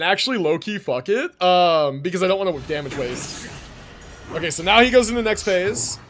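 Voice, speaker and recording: Male game streamer, higher-pitched and very expressive, slangy and sweary, thinking aloud over music. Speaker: game livestreamer. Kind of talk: casual live gameplay commentary.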